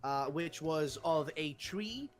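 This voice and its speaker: A man's voice: on the high side, expressive, slow, soft background music. A young man with a Swedish accent, hosting a casual discussion and rambling with many fillers and restarts. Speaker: host.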